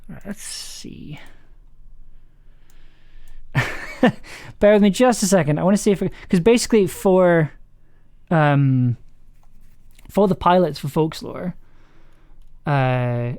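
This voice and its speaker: A person casually talking through audio editing work on a livestream, halting and trailing off while thinking aloud. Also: mid-range voice, a little mellow, clean tone, no room sound, studio quality. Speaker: audio drama maker streaming the editing process.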